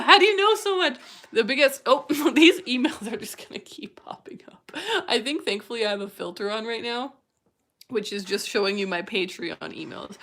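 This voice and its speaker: A woman's voice: medium pitch, bright, forceful tone. A young woman hosting a livestream, talking in an effusive, enthusiastic way about viewer submissions. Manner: effusive and enthusiastic, gushing over what she reads. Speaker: livestream host. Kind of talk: live-streamed chat reading viewer submissions.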